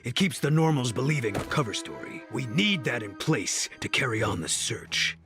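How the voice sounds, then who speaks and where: Man acting a gravelly, stern villain leader. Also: mid-range voice, animated, moderate pace, lightly acted. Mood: reproving. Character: stern, calculating leader of disguised supervillains. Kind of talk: video game cutscene acting. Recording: music behind the voice.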